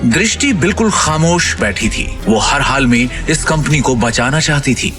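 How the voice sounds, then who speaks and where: A man narrating a scripted audio drama in an expressive storytelling manner. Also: mid-range voice, normal speed, faint music behind.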